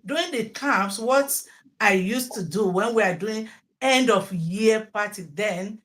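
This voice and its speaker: A woman's voice: medium pitch, a little slow. A middle-aged Nigerian woman, a native Yoruba speaker, talking at length as a teacher being interviewed, often repeating words and restarting. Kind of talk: interview answers.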